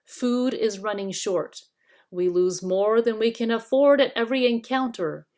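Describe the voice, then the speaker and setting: A woman's voice: a little high, measured pace, darkish tone, a little hiss or hum, voice pushed up, lightly acted. Woman acting a bitter, sneering storybook dwarf. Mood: impatient scorn. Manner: scornful, quarrelsome. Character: bitter, snarling, sneering male dwarf rebel.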